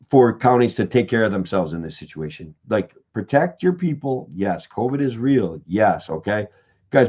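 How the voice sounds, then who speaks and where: A man with a middling pitch and a voice worn hoarse, rambling casually to his viewers and peppering every thought with "guys" and "okay." He talks like a candidate chatting on a late-night stream. The audio is dull and lo-fi. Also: darkish tone. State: voice getting hoarse. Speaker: political candidate livestreaming. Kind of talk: casual livestream talk to viewers.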